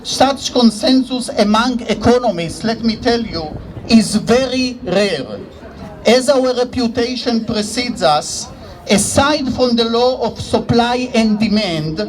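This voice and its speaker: Israeli male academic addressing an outdoor rally, high voice, faint noise behind, steady and formal. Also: rough recording. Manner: measured, formal delivery with dry humor. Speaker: economics professor. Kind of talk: prepared rally speech.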